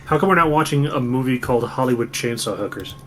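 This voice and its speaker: A man's voice: animated, fast talker. A man co-hosting a film stream with casual, jokey, slangy banter. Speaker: co-host on a film commentary stream. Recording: noisy with a low hum.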